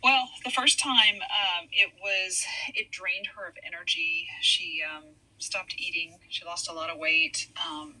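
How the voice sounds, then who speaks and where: A middle-aged woman from Hawaii, a podcast guest, speaking softly and haltingly with many fillers in a casual interview. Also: on the low side, steady tone, low-quality recording.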